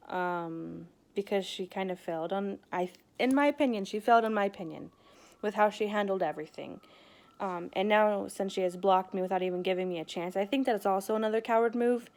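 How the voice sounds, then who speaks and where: A woman's voice: medium pitch, darkish tone. A woman talking candidly and casually in a rambling personal vlog, retelling events and conversations as she goes. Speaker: vlogger.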